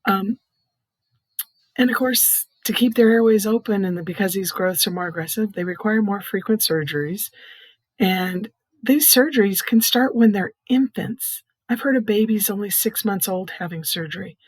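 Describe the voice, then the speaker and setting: A middle-aged or older woman with a soft, hoarse, breathy voice, giving an earnest personal testimony in plain, explanatory words. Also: medium pitch, normal speed, no echo. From Iowa, United States. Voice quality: soft, hoarse, breathy voice from vocal cord growths. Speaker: patient advocate, child care nurse consultant.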